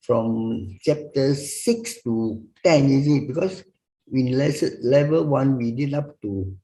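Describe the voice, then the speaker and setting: A man's voice: mid-range voice, lively intonation, slow pace, mellow, poor audio. A man, a student on a video call, asking his teacher a question hesitantly. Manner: hesitant, unsure.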